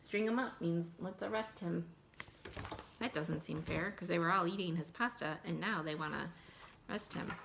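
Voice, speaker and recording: A woman reading a picture book aloud to children in an animated, expressive way, adding asides and questions in mock surprise. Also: lowish voice, normal speed, gravelly, a little hiss or hum. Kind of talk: animated read-aloud storytelling with asides to young listeners.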